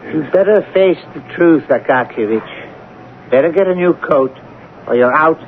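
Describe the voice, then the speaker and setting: Jokey smug head clerk, urbane banter, highish voice, old tinny radio play. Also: male voice, expressive, unhurried, a little hiss or hum. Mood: needling, amused. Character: teasing, jovial male chief clerk, needling and self-important. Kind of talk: 1970s radio drama acting.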